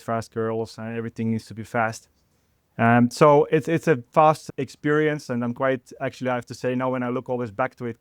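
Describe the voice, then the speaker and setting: A middle-aged man, a sports chiropractor guest answering in a halting podcast interview with many fillers and restarts. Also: mid-range voice, clean tone, dry recording, studio quality. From Estonia.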